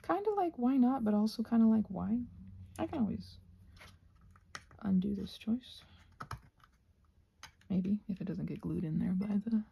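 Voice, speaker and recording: A woman with a soft, breathy voice, often close to a whisper, musing aloud in a playful, whimsical ASMR ramble as she crafts. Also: medium pitch, measured pace, pauses often, highs cut off, a little hiss or hum.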